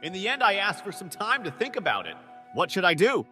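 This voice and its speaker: Manga dub lead: male actor as earnest lovesick young man, bright and high, anxious inner monologue, music behind. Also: big pitch swings, a little fast, in a large hall, full character voice. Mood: anxious, pondering. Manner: earnest, self-doubting inner monologue with startled outbursts. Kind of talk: animated comic dub with first-person narration. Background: background music.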